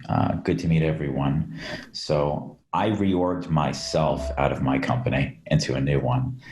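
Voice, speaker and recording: A man introducing himself as a panelist on an online call, in a brisk, matter-of-fact way. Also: a bass voice, a little mellow. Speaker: panelist, QA manager. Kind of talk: casual panel talk. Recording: roomy echo.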